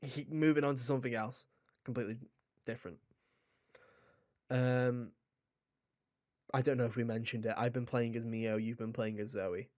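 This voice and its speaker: A man's voice: medium pitch, fairly even intonation, normal speed, pauses often, darkish tone, dry recording, clean studio sound. A man co-hosting a video game podcast, chatting in an informal, rambling and jokey way. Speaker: podcast co-host reviewing video games. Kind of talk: casual chat.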